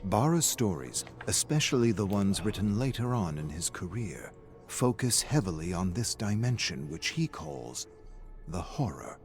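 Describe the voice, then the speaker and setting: A man reading scripted journal-style lore entries as a measured, serious storyteller. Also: on the low side, very animated, a little mellow, faint music behind. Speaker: reader of game lore entries. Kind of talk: scripted narration, journal entries read aloud.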